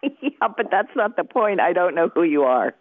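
A woman's voice: fairly low pitch, sing-song, moderate pace. A woman on a phone call, puzzled and questioning the caller. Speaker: prank call recipient. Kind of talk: phone conversation. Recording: thin, narrow phone line.

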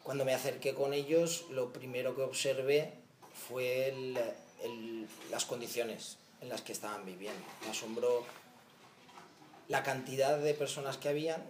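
A man in his thirties with a Spanish accent, recounting in a documentary interview how he started helping migrants, thoughtful and halting with restarts. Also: medium pitch, steady tone, reverberant, a little hiss or hum. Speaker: volunteer founder. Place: outdoor patio of a house.

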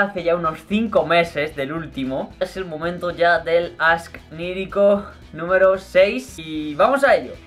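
A young man with a light Murcian accent chats playfully and slightly high-pitched, answering questions. He speaks close to the camera in his bedroom, with faint music underneath. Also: a bit bright. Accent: Murcian, mostly held back on camera. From Murcia, Spain. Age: young adult. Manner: chatty, playful, gestures a lot, laughs easily. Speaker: YouTuber. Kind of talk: casual Q&A vlog. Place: bedroom, indoors.

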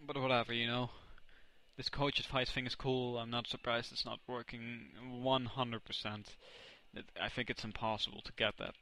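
A young man from the Netherlands with a Dutch accent, casually commentating his own video game match, excitable and often correcting himself. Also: mid-range voice, normal speed, low-quality recording. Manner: excitable, self-correcting, reacts loudly to plays. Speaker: gaming commentator. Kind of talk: casual let's play commentary.

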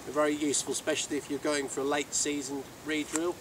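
A man's voice: fairly high pitch, little pitch movement, fast talker. A middle-aged British man giving an informal, matter-of-fact explanatory talk out in an open field. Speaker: seed seller giving a farm walk talk. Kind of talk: informal outdoor demonstration talk. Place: outdoors in an open farm field. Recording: distant mic outdoors, clear ambient noise.

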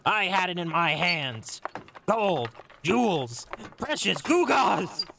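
A man's voice: high voice, big pitch swings, takes it slowly, vocal fry, bright, forceful tone, slight background noise, full character voice. A man voicing a grumpy, greedy, scheming cartoon creature in a comic dub, complaining indignantly. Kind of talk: comic book dub acting.

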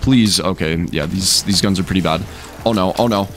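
A young American man with a slightly hoarse voice, giving casual, slangy gameplay commentary as the host of a gaming video. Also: deep voice, normal speed. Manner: slangy, rambling, addresses viewers as boys. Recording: game music behind voice. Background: video game music.

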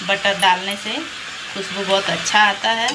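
A woman talks a home cook through a recipe, calmly walking through each step. Her delivery is slow and patient, and she keeps drawing attention to what is in the pan. Loud sizzling from the pan sits under every word. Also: medium pitch. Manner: patient, repeats "dekhiye" to point things out. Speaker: home cooking channel host. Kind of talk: step-by-step cooking demonstration voice-over. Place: home kitchen over a frying pan. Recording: loud frying noise under the voice. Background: loud sizzling and stirring of a pan.

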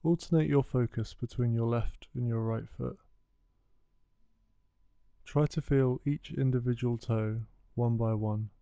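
A man leading a guided meditation, giving soothing, unhurried instructions with gentle pauses. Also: medium pitch, steady tone, creaky, clear, resonant tone, no room sound, clean studio sound. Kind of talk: guided body scan meditation.

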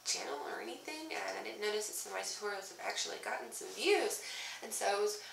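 A woman's voice: mid-range voice, animated, fast talker, gravelly, background noise. A young woman vlogging casually at home, sounding nasal from a cold, chatty and apologetic, with lots of "um"s and little laughs. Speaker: craft vlogger. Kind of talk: casual chat to camera. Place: small room indoors at home. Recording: roomy, slightly distant camera audio.

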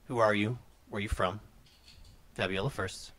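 Low-voiced man hosting a casual chat. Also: normal speed. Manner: relaxed, rambling, many fillers. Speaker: host. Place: living room.

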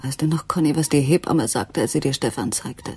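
A woman playing a troubled woman searching for the truth in a German radio crime drama, with a breathy, husky voice. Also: deep voice, a little fast, dark tone, heavily acted. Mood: anguished, whispering.

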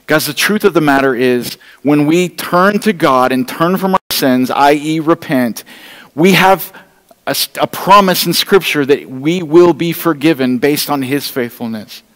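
Middle-aged man, pastor, earnest pleading sermon, slightly high voice, church hall reverb. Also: unhurried, fairly bright tone. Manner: earnest, pleading, direct address to congregation; walks the stage holding an open Bible. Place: church stage, large room indoors.

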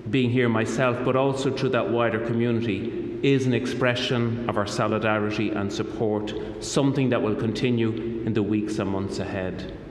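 Irish bishop in an echoey chapel. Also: male voice, medium pitch. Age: middle-aged. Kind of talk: liturgical address and blessing. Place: hospital chapel. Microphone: microphone on stand at lectern. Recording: echoey chapel with long reverb, noisy.

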